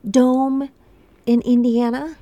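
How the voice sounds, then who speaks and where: A woman's voice: high-pitched, level tone, slow pace, dry recording. A woman co-hosting a radio show, chatty and friendly, interviewing a guest inside a planetarium. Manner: chatty, hushed at times. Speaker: radio co-host. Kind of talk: casual interview talk. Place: planetarium dome.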